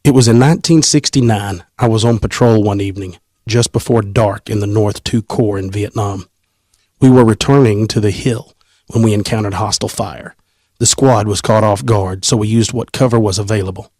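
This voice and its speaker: A man, hosting a casual podcast and reading a story aloud in an animated, teasing way. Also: on the low side, normal speed, no echo. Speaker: podcast host.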